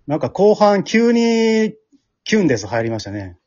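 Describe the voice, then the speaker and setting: A man's voice: sits high, big pitch swings, normal speed, darkish tone, no echo. A man with a Kansai accent chatting casually as a co-host after a show. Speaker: podcast co-host of an amateur drama group. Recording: dull lo-fi recording.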